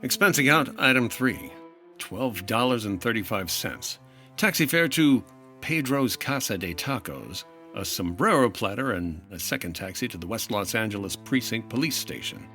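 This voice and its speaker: A man's voice: fairly low pitch, expressive, moderate pace, bright, forceful tone, soft background music. A man playing a patient, wry 1950s insurance investigator in old-time radio detective style, dry and understated. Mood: brisk narration. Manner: dry, understated, world-weary.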